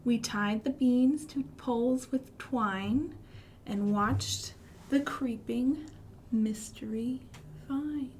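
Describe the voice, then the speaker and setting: High-voiced American woman hosting children's storytime. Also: drawn-out words, slight background noise. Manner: expressive, sing-song delivery with gasps and hushed emphasis. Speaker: library storytime host for young children. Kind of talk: children's storytime with singing, rhymes and asides.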